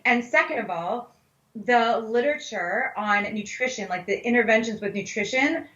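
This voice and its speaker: A woman's voice: fairly high pitch, fairly bright tone. A woman speaking as a dietitian guest in a casual expert conversation, candid and emphatic. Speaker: plant-based dietitian, interview guest. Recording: echoey, slightly dull audio.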